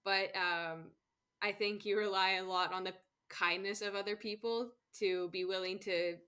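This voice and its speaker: A woman's voice: mid-range voice, fairly even intonation, normal speed, bright, forceful tone. A woman from Canada, a guest on an interview show, chatting casually about travel and laughing often. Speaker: guest, solo travel expert.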